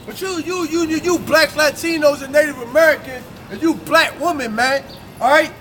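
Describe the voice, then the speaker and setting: A man street preaching in a forceful, confrontational way, ending phrases with "man", outdoors on a city street. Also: extremely high pitch, moderate pace. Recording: street crowd noise.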